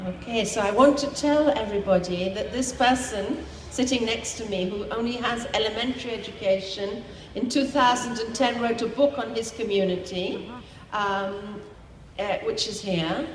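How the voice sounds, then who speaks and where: A woman interviewing a guest before an audience, polite and measured, with frequent ums. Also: on the high side, roomy sound, long reverb tail, slight background noise, low-quality recording.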